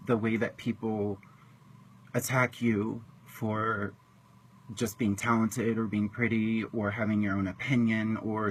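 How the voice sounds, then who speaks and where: A man in his thirties from Washington state tells his own story in a halting, emotional way, with many pauses and ums. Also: on the low side, a little hiss or hum, low-quality recording. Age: 30s. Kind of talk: personal testimony to camera.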